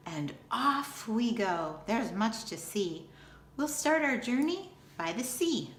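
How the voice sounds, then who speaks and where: A woman reads aloud for children like a schoolteacher. Her delivery is unhurried, deliberate and expressive. The room adds noticeable echo, with a faint layer of noise behind her. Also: fairly high pitch. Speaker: school teacher reading aloud. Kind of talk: children's picture book read-aloud.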